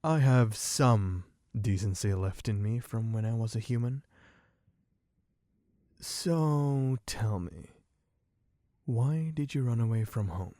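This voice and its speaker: A man playing a sadistic, possessive vampire in an ASMR roleplay, speaking in a taunting, condescending, deliberate way. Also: medium pitch, no echo, clean studio sound, lightly acted. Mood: mocking, probing. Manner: taunting, condescending, slow and deliberate with soft chuckles. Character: sadistic, possessive male vampire toying with human captive.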